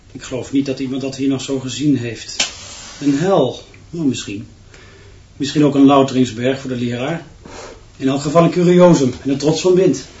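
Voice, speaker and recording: A man's voice: medium pitch, warm tone, a little hiss or hum, close to an everyday voice. A man playing a wry, musing schoolteacher colleague in a radio drama, making short dry remarks. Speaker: actor in a radio play. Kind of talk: conversational radio drama acting. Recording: dull, echoey room.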